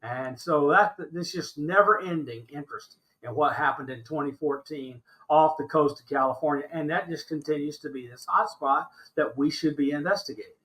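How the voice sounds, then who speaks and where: Interview guest: American man around seventy, forceful high voice, stresses points by repeating them. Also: normal speed, hardly any pauses, low-quality recording. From Alabama, United States. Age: older, about 70. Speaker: interview guest recounting his experiences. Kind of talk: animated conversational argument.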